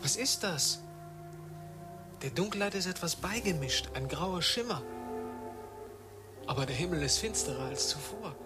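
High-voiced, earnest wanderer. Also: male voice, expressive, a little slow, pauses often. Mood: alarmed. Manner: earnest, formal literary diction. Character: protective, earnest wanderer named Mathieu. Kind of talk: radio drama acting. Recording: music behind the voice.

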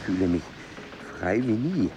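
An actor playing a strict, pedantic, driven explorer and scientist in a radio play, clipped and commanding. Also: male voice, lowish voice, lively intonation, unhurried, noisy background. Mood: resolute. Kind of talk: German radio play acting.

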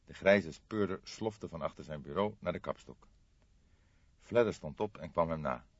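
A male narrator with a fairly low voice reads literary prose expressively. The recording is dry and close, with a somewhat dull, lo-fi sound. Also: normal speed, slightly rough, dry recording. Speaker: audiobook narrator.

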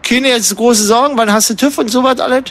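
A man with a Berlin accent in improvised radio comedy chat, hosting in a cheeky, excitable, probing manner. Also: very high-pitched, fairly quick. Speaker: radio host.

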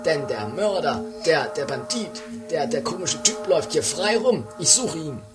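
A man playing an excitable, vengeful train conductor in an improvised comic radio play, emphatic, repetitive and dramatic. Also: a little high, normal speed. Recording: music behind the dialogue.

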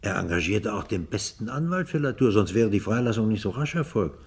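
Impatient police inspector, husky, lively swooping intonation, clipped, radio crime play. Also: male voice, on the low side, a little fast. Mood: brisk, impatient. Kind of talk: German radio crime drama acting.

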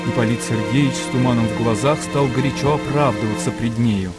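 A man's voice: medium pitch, a little fast. Male radio-drama narrator over music.

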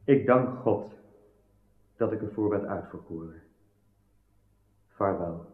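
Slow, devout young knight. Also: male voice, lowish voice. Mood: solemn, fulfilled. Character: devout, serene young knight-priest taking solemn farewell. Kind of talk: radio drama acting. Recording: tinny, echoey old radio recording.

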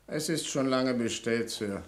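A man's voice: mid-range voice, unhurried, natural voice. Man as reluctant, grumbling bank employee. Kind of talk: German radio play acting.

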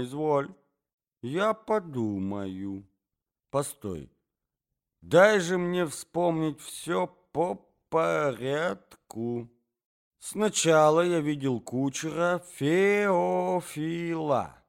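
A man's voice: a little high, lively intonation, extremely slow, gravelly, clean tone, pitched above the performer's own voice, full character voice. A man voicing a frail, forgetful old prince who talks in a muddled, bewildered way.

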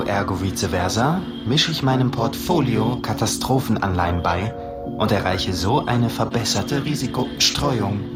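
A man's voice: lowish voice, close to an everyday voice. A man playing a coolly self-assured, analytical investment strategist in a scripted radio art monologue. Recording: voice under loud music.

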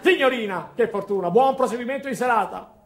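An actor playing an effusive male restaurateur, jovial, hospitable and loudly exuberant. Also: very high voice, brisk. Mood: jovial, generous. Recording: roomy, echoing theatre sound.

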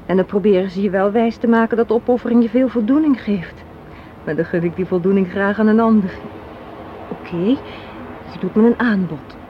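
Through a slightly hissy, muffled old radio-play recording comes an actress as a young woman with a sharp mind and a cynical streak. She argues assertively and articulately, with a sardonic edge. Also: mid-range voice, brisk, natural voice. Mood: indignant, sarcastic. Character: assertive, cynical young woman arguing against a marriage proposal.

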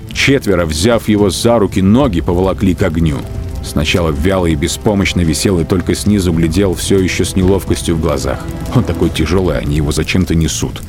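A man narrating a literary radio production in a dramatic, scripted storytelling style. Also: deep voice. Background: faint music.

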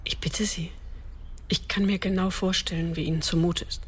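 An actress playing a compassionate well-to-do woman who speaks softly, carefully and politely in a radio drama. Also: fairly low pitch, steady tone, normal speed, slight background noise, lightly acted. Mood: sympathetic. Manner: soft, careful, polite, sometimes breathy.

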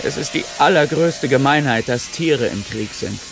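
An actor playing an indignant, sorrowful farmer turned soldier in a radio play, bitter and earnest in manner. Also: male voice, mid-range voice, lively intonation, moderate pace, vocal fry. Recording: music and effects behind the voice. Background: music.

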